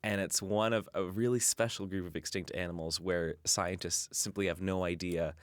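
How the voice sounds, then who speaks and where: A young man giving a casual interview as a guest, explaining things in a halting, thoughtful way. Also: low voice, fairly even intonation, clean tone, no room sound. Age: young adult. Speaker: interview guest, student puppeteer.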